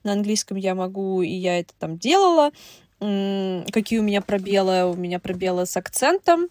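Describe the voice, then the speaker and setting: A woman from Russia co-hosting a podcast, talking casually in quick asides with lots of fillers. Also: mid-range voice, sing-song, a little mellow, no room sound. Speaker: podcast co-host.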